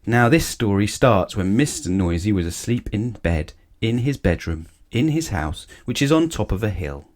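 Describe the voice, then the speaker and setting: Low-voiced, expressive male storybook narrator. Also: moderate pace, hardly any pauses. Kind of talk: expressive children's read-aloud.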